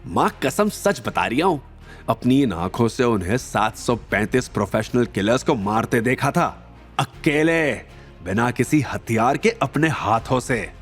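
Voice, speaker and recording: A man's voice: medium pitch, lively intonation, a little slow, faint music behind, close to an everyday voice. A man voicing a boastful reformed underworld hitman in an audio drama, speaking in a dramatic, awed storytelling manner. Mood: awed, reverent.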